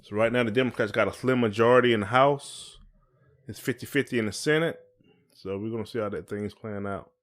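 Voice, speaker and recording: Male podcast host, American, lower-pitched voice, informal conversational delivery, colloquial phrasing. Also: a little fast. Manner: colloquial, often checks in with "you know". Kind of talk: casual conversational podcast talk.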